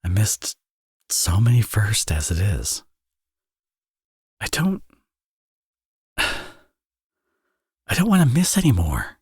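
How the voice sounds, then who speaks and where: Man acting a husky, low-voiced villain dad. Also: huge pitch swings, slow, long pauses, no echo, studio quality, heavily acted. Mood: tender, wistful. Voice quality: husky, breathy. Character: teasing villain, tender doting young father. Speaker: audio roleplay voice actor. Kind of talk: intimate ASMR audio roleplay acting.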